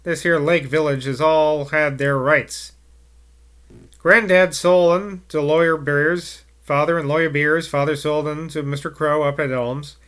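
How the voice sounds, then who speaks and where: A man's voice: a little high, fairly even intonation, unhurried, fairly bright tone. A man voicing a grumpy old rural man who growls in a thick country dialect. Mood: storytelling. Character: grumpy old rustic man, ogre-like mutterer.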